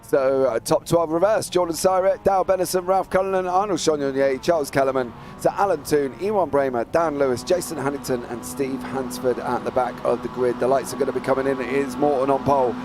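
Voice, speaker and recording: A man's voice: mid-range voice, over music. A British man doing live motor racing commentary, teasing his co-host in easygoing banter and reading out grid positions. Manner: chatty, teasing, reads out grid positions briskly. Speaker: lead race commentator.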